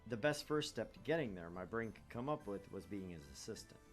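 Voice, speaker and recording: A man's voice: lowish voice, animated, a little fast, soft background music. A man hosting a gaming show, reading a visual novel aloud and commenting casually.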